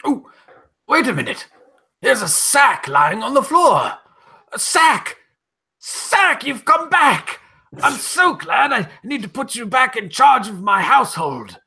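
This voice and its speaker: A man playing a high, fretful gentleman sidekick. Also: big pitch swings, slow, gravelly, slightly rough, heavily acted. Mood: excited. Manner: rambling, hesitant, swooping exclamations. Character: fretful, excitable, dithering gentleman sidekick to a detective. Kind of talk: comic old-time radio play parody.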